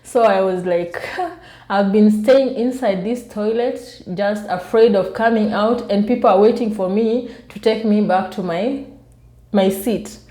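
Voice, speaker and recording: A soft-spoken woman with a Kenyan accent chats casually to her viewers, telling personal stories in a self-amused way. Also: medium pitch, a little slow, reverberant. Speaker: YouTube vlogger. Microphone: newly bought external microphone.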